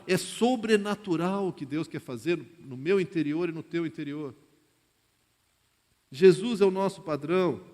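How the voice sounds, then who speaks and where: A man with a Brazilian accent preaching an informal, conversational sermon in a direct, colloquial way, often voicing imagined dialogue. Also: fairly high pitch. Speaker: pastor preaching to a congregation. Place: large reverberant church hall. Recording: long hall reverb.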